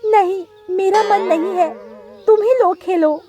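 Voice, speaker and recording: A woman's voice: unhurried, dark tone, faint music behind. A woman voicing a doting, easily distraught mother bird in a cartoon story, plaintive and dramatic. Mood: wistful. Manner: high-pitched, plaintive, dramatic. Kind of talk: Hindi cartoon story dialogue.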